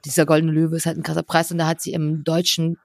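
Young adult, co-host, halting, word-searching, dry close recording. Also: medium pitch, completely flat delivery, gravelly, no room sound. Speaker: podcast co-host. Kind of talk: casual chat.

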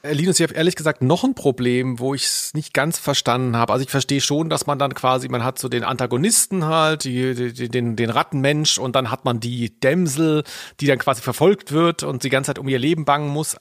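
A man's voice: medium pitch, a little fast, no echo. Guy podcast host, casual comedy chat, puzzled questioning, mid voice, dry sound.

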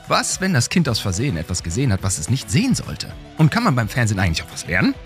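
A man's voice: medium pitch, huge pitch swings, fast, faint music behind. A man hosting a podcast, chatting casually in an interview with a child guest. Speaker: podcast host.

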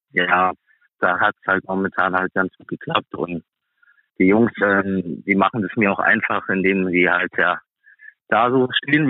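A man with a fairly low voice heard through a narrow, phone-like connection with little room sound. He answers as an interview guest in a casual way, feeling for his words as he goes. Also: dry recording. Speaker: guest, professional ice hockey player. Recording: thin phone-like band-limited sound.

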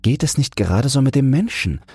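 A man playing a cheerful young male student who banters in a lively, educated way in a storybook. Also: medium pitch, a little fast, husky, no room sound, faint music behind, voice pushed up. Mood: spirited.

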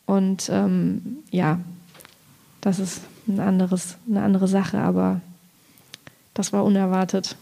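A woman's voice: medium pitch, little pitch movement, slow pace, creaky, pauses often, mellow. A woman from Thuringia, a writer, reflecting tentatively on her work in a literary discussion and searching for her words. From Thuringia, Germany. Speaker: poet and writer.

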